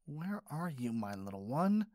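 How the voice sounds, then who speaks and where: A man acting in an ASMR roleplay as a gentle, doting caregiver, speaking softly in a soothing, coaxing manner. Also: on the high side, very animated, unhurried, no echo, studio quality. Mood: playful searching. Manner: soft, soothing, playful coaxing. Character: gentle, doting male caregiver comforting a partner.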